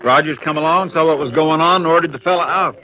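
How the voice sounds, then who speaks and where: An American actor with a Texan drawl playing a folksy, plain-spoken small-town sheriff in a 1950s radio western. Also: male voice, on the high side, fast talker, lightly acted. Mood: worried, explaining. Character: folksy, dutiful small-town Texas sheriff, grown man. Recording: dull, muffled 1950s radio recording.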